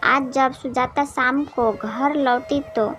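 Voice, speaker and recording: A woman's voice: sits high, fairly even intonation, slow pace, a bit bright, soft background music. A woman narrating a scripted moral story as its storyteller. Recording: clearly noisy background.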